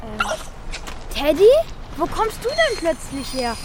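A woman's voice: very high-pitched, big pitch swings, takes it slowly, very noisy, exaggerated voice. A woman playing Mika, an earnest, lonely, kind-hearted young child in a German children's radio play. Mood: pleading.

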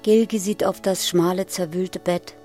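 An actress playing a somber chorus narrator, speaking sparse fragments in a literary radio drama. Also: female voice, on the low side, steady tone, moderate pace, a little mellow, faint music behind, close to an everyday voice.

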